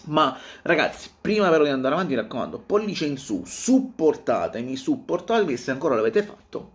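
A man in his thirties talking casually to camera as a football fan vlogger, with an animated, theatrical delivery that repeats phrases for emphasis. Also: a little high, a little fast. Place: small home room, indoors.

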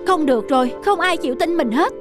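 Lady doing a kind young village guy in a cartoon, high earnest voice, music playing behind. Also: animated, fast talker. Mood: earnest, pleading. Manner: earnest, pleading. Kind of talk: dubbed fairy-tale cartoon acting.

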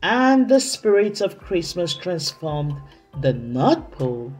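Very slow, expressive woman storyteller. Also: on the low side. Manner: expressive, dramatic, deliberate. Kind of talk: children's story read-aloud. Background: soft music.

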